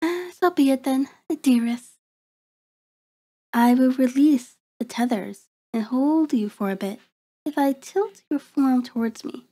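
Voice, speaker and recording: A woman playing a seductive, predatory vampire in an ASMR roleplay, soft and breathy with a coaxing, commanding manner, often slipping into a whisper. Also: sits high. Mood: coaxing, teasing. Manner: slow, deliberate, coaxing, controlling.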